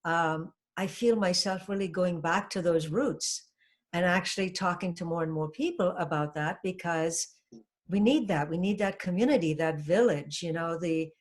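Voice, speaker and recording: A woman's voice: lowish voice, normal speed. Reflective older woman from Trinidad. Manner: earnest, reflective, with frequent "you know" and "um". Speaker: guest, hypnotherapist. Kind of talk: casual interview talk.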